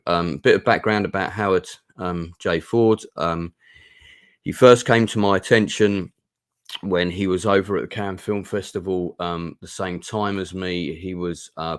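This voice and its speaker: Hoarse, low-voiced man. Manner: rambling with many ums and restarts. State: hoarse, ill with a sore throat. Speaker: film reviewer and channel host. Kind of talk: casual live-stream review chat. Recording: echoey room.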